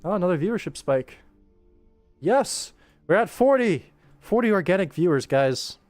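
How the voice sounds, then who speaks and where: A man's voice: high voice, big pitch swings, moderate pace, a little mellow, dry recording, faint music behind. A man casually commentating on his animation work stream, thinking aloud and chatting informally with viewers. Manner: informal, rambling to himself and viewers.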